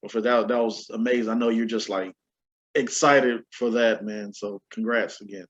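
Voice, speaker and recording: A man's voice: on the low side, fairly even intonation, normal speed. Encouraging male podcast host, slightly muffled audio. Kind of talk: casual chat, hosting.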